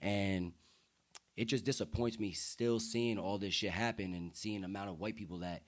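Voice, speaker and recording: Young guy from the us, deep voice, lots of street slang, real earnest casual podcast talk. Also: steady tone, normal speed. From United States. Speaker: podcast guest. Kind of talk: heartfelt casual conversation.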